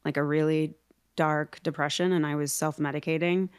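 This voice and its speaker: A woman in her thirties, a podcast guest, telling her own story in a reflective, halting way with small laughs. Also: deep voice, level tone, clean tone, no room sound, studio quality. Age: 30s. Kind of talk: candid personal storytelling.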